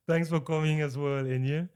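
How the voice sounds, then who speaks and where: A man's voice: on the high side, darkish tone. A man from Africa hosting a casual talk show, upbeat and full of short repeated affirmations. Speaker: host. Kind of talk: casual chat.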